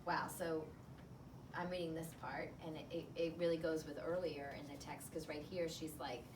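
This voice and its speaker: A woman with a lowish voice reads a children's story as a teacher would, drifting into chatty, filler-sprinkled reflection. She is picked up from a distance in an echoey room, with clear noise behind her. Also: steady tone, normal speed. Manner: conversational, thinking out loud with filler words. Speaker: teacher reading aloud to a class. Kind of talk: picture book read-aloud with think-aloud commentary. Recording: roomy, distant mic, clear background noise.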